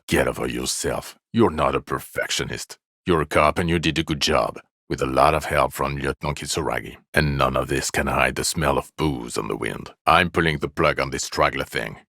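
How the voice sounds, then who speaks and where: An actor playing a jaded, sarcastic, irritable police officer in a video game, gravelly and deadpan, with weary scorn. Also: male voice, a bass voice, dry recording, clean studio sound, full character voice. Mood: weary, irritated. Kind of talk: video game character dialogue lines.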